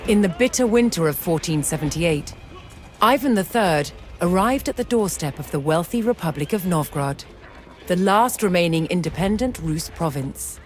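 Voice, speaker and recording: Female narrator, measured and solemn, scripted historical game storytelling with prominent music behind. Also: on the low side, expressive.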